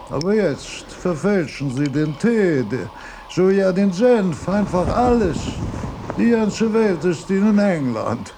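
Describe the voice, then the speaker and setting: Radio drama: fussy, pedantic old thinker, slow delivery with lively swings, easily distracted, noisy ambience. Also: male voice, a little high, vocal fry, full character voice. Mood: indignant, grumbling. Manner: halting, emphatic, pedantic.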